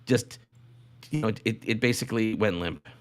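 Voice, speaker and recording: A man co-hosting a casual unscripted podcast, speaking haltingly and repeating phrases for emphasis. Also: lowish voice. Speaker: podcast co-host.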